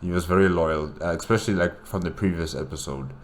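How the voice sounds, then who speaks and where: A man's voice: sits low, quick pace, a little hiss or hum. A man hosting a reaction, commenting casually and conversationally, repeating phrases as he goes. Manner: conversational, repeats phrases, speaks to the story's characters. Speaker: reaction host.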